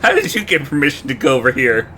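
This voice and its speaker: Male game streamer, mid-pitched, very animated swings, playful and excitable, reacting casually over game music. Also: fast talker.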